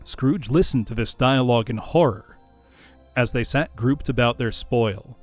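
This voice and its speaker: A man narrating a classic tale as a storyteller, in an expressive audiobook style. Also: mid-range voice, a little slow, soft background music. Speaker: storyteller, streamer. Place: dry room, live stream.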